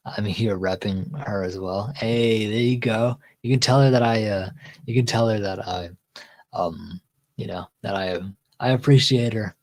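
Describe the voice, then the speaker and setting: A Texan-accented man hosting a relaxed livestream chat, talking in a somewhat low chest voice. He reads viewers' comments aloud and wanders through his answers with easygoing restarts. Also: measured pace. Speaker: livestream host.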